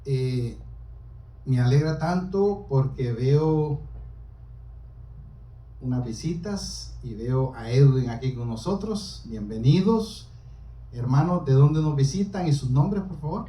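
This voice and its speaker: A man preaching to a small congregation in a halting, deliberate way, explaining scripture as he goes. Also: mid-range voice, animated, mellow. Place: small church during a service. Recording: roomy, echoing hall, distant microphone.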